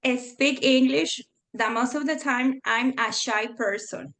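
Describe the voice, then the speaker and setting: Mexican woman, spanish accent, kinda high voice, slow and hesitant, lots of ums and laughs. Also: a bit bright. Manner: hesitant, many fillers, laughs often. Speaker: interview guest. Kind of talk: casual conversation.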